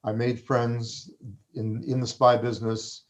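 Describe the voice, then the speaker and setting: A man whose first language was Farsi, an author being interviewed, speaking thoughtfully with frequent pauses and restarts. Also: mid-range voice, flat delivery. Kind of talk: reflective conversational interview.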